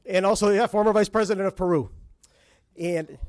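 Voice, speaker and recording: High-voiced male ceremony emcee. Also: a little mellow, slight background noise. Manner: brisk name listing with filler "uh"s. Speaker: master of ceremonies.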